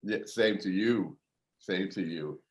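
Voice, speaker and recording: A man's voice: deep voice, slow pace. A man hosting an interview show, chatty and friendly, laughing often. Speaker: host.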